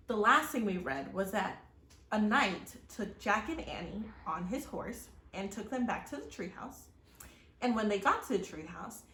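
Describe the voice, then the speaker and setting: A woman reading a children's story aloud like a teacher, expressively, pausing to address her young listeners with questions. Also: on the high side. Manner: expressive, acts out exclamations and gasps. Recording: roomy echo, faint background noise.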